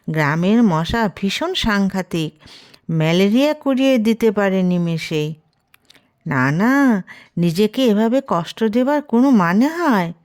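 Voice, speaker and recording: A woman reading a short story as scripted narration, expressive and gently wry. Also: mid-range voice.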